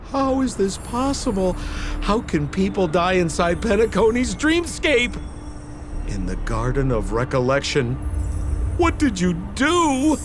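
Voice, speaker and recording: A man's voice: sits high, huge pitch swings, unhurried, over music, exaggerated voice. Theatrical, wildly swooping shifty guy. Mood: panicked accusing. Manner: dramatic, exaggerated. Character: shifty theatrical man, flustered suspect protesting his innocence. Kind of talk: video game voice acting.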